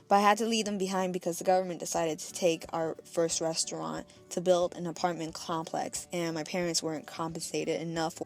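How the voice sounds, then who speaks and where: A young American woman from the United States, narrating her childhood in a chatty voice-over and laughing at herself along the way. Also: lowish voice. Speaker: vlogger. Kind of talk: casual storytelling voice-over.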